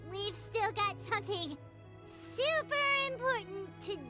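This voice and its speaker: A woman voicing a tiny, excitable childlike fairy companion in an anime video game, squeaky and chirpy. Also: measured pace, loud music behind the voice. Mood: chirpy, skeptical. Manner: chirpy, refers to self in third person.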